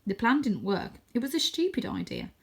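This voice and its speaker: A woman's voice: a little high, wildly swooping pitch, moderate pace, gravelly, husky, pitched above the performer's own voice, exaggerated voice. Woman as a proud, tough young she-cat. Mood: dismissive, brisk.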